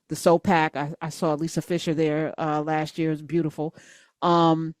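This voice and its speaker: A woman's voice: low-pitched, darkish tone, dry recording, clean studio sound. A middle-aged woman hosting a live call-in talk show, speaking conversationally and thinking aloud as she goes.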